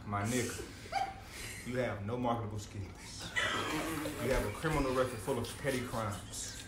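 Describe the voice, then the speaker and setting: A man's voice: medium pitch, fairly even intonation, moderate pace, natural voice. A man playing a stressed, skeptical best friend in an amateur comedy table read, slangy, profane and incredulous. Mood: exasperated. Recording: echoey room, faint noise.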